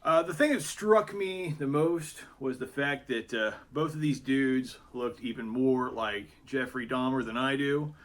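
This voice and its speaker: A man in his thirties talking casually about himself in a self-deprecating, hesitant way, with many pauses. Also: mid-range voice. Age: 30s. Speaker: YouTuber. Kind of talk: casual vlog monologue.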